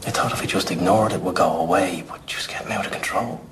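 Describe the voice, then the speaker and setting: A man playing an evasive, self-justifying suspect in his thirties, speaking haltingly in a crime drama. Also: sits low, whispered, natural voice. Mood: defensive, pleading. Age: 30s. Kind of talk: naturalistic British crime drama acting.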